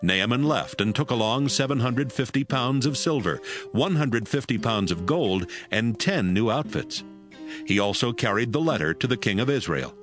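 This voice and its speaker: A man's voice: medium pitch, animated, moderate pace, music in the background. A man narrating a dramatized Bible reading as a scripted storyteller.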